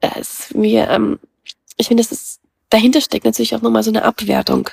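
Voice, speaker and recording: A middle-aged woman whose native language is Russian, a guest in a casual conversation, thinking aloud with many pauses and fillers. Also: fairly high pitch, animated, creaky, a little mellow, slightly rough, no room sound, studio quality. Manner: thoughtful, many hesitations and fillers.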